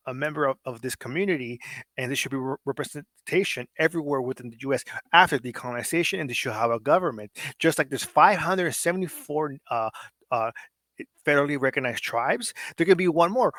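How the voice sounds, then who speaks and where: A man's voice: on the high side, pushed and bright, no room sound. A man born in Mexico whose first language is Spanish, with a Los Angeles Mexican American accent, talking politics on a podcast in a blunt, profane, heated way with many restarts. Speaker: podcast guest. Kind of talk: casual political discussion.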